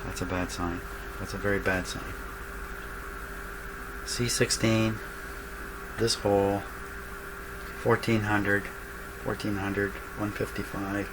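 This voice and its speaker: A man narrating his workbench repair casually, thinking aloud in a halting, musing way. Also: lowish voice, level tone. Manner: musing, halting, talking to himself. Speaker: radio repair hobbyist, host of a workshop show. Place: small indoor workshop crowded with electronic test gear. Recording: loud background hum and static noise.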